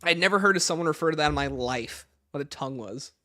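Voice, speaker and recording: Animated man with a bright, higher-pitched voice. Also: fast talker, no echo. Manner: animated, opinionated, emphatic. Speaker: livestream host answering viewer questions. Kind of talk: casual livestream chat, reading out and answering questions.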